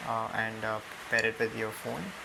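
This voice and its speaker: A young man with an Indian accent giving a casual, halting unboxing and tutorial narration with many ums. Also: on the low side, vocal fry. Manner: hesitant, halting delivery full of ums. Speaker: product reviewer. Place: indoors on a room floor. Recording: noisy home recording with steady hiss.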